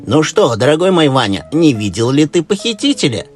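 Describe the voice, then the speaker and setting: A man's voice: on the high side, very animated, fairly quick, voice pushed up. A man voicing an old fairy tale tsar, an anxious and fond father who speaks pleadingly. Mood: hopeful, anxious. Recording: music behind the voice.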